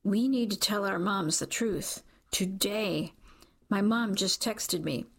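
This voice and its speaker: A woman's voice: mid-range voice, slow pace, gravelly, breathy edge, exaggerated voice. A woman reading the part of an insistent young male coworker in an audiobook, pressing and urgent.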